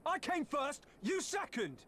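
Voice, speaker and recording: A woman's voice: high-pitched, sing-song, measured pace, fairly bright tone, slight background noise, voice pushed up, full character voice. A woman voicing boastful, hot-tempered warrior men of the steppe in a fantasy game, with grandiose, archaic declamation. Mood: haughty challenge. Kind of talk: theatrical fantasy game character reading.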